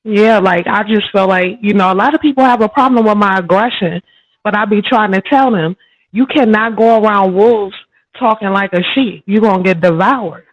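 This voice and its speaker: A woman's voice: medium pitch, normal speed, no echo, lo-fi sound. A woman guest in a casual chat, talking in a tough, streetwise, emphatic way.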